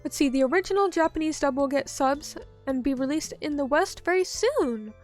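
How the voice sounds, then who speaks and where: Woman, high-pitched voice, casual streamer chat, rambling with fillers, soft music underneath. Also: animated, fairly quick. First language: English. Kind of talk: casual chat reading viewer messages.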